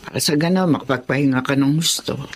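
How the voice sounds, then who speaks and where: A woman's voice: very deep voice, fast, a little hiss or hum. A woman acting a kindly, protective older grandmother in a Filipino radio drama. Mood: caring.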